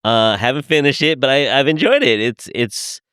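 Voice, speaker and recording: A man hosting a podcast, asking questions in an easygoing, casual way and giving quick short agreements. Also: medium pitch, animated, fairly bright tone, smooth, no echo, studio quality. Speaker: podcast host. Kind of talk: casual interview.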